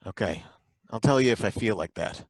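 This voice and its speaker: An actor playing a suspicious, bumbling license inspector who asks halting, probing questions in a live old-time radio drama. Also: male voice, lowish voice, lively intonation, husky, dry recording.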